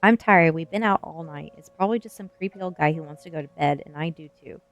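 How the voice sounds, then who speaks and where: A woman's voice: low-pitched, fast, dull audio. Woman voicing a timid, tired teenage girl. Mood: tired, pleading. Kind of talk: storybook dialogue in an ASMR reading.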